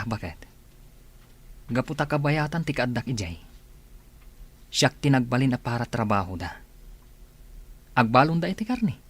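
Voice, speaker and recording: A man's voice: medium pitch, slightly rough. An actor with a Filipino accent playing an earnest, hardworking married man in a radio drama.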